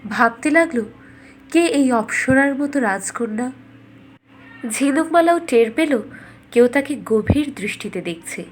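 A woman's voice: sits high, expressive, unhurried, long pauses, a little hiss or hum. A woman reading scripted fairytale narration for a cartoon as a storyteller.

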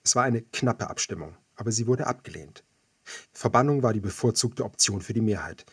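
A man's voice: fairly low pitch, animated, a little fast, a bit bright, slightly rough, no room sound, studio quality. A man reading first-person fiction as a scripted audiobook narrator.

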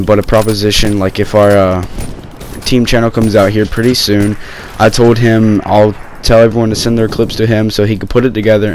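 A young man with a sore throat, talking low and rambling casually over gameplay footage on his gaming channel. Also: darkish tone, slight background noise. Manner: rambling, many fillers and restarts.

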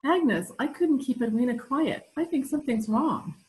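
A woman's voice: sits high, expressive, moderate pace, background noise. An older woman playing a gushing, fretful, stubborn wife in an old-time radio comedy, recorded at home over a video call. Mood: flustered. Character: doting, excitable, stubborn wife smitten with puppy.

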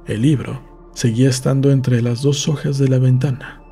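A man reading a novel aloud as an audiobook narrator, in a calm, measured storytelling manner. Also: medium pitch, breathy edge, soft background music.

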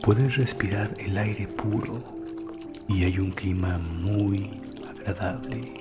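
A man hosting a guided meditation, giving soothing, unhurried instructions, recorded as narration for relaxation. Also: on the low side, fairly even intonation. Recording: voice over music and water sounds. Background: relaxation music, running water.

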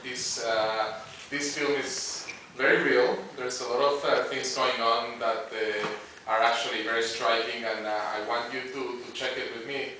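A man with a mid-range voice speaks haltingly as he hosts a live festival event. His voice booms around a very echoey theater and is caught from far off, with clear noise over it. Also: little pitch movement. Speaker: festival programmer and Q&A host. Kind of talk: informal live stage introduction. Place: movie theater stage. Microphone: handheld PA microphone, picked up from audience. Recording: echoey, noisy theater, far from camera mic.

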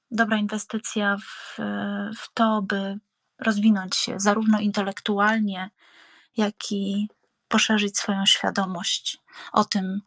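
A woman host presenting an audio series in an earnest, measured, semi-spontaneous way with hesitant pauses. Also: mid-range voice, fairly bright tone, dry recording. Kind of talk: semi-spontaneous programme outro.

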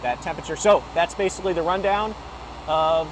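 A man giving a casual, unscripted walkthrough of HVAC unit controls, explaining each part with frequent "you know" and quick self-corrections. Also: a little high. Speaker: HVAC equipment rep giving a tutorial. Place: beside a rooftop HVAC unit, outdoors. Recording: noisy outdoor phone recording with machinery hum. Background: running compressor and fan hum.

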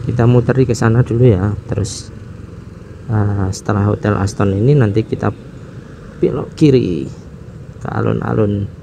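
A man's voice: fairly low pitch, gravelly. A man, narrating his motorcycle ride casually, musing aloud and wondering where to go. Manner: unhurried, musing aloud, chuckling. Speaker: motovlog host. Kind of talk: casual narration while riding. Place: outdoors on a motorcycle in city traffic. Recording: street traffic noise behind voice. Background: traffic and engine noise.

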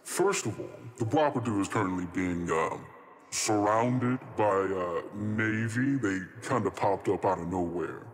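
A man's voice: fairly low pitch, unhurried, natural voice. A man voicing a chatty, courteous trickster spirit in a tabletop game, hedging and rambling politely as he goes. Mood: chatty, evasive. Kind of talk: improvised tabletop roleplay. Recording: long reverb on the voice.

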